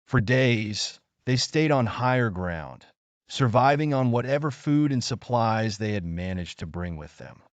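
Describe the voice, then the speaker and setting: Man, children's story narration, lively melodic delivery, dry close sound, earnest. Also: medium pitch, unhurried, dry recording, muffled recording.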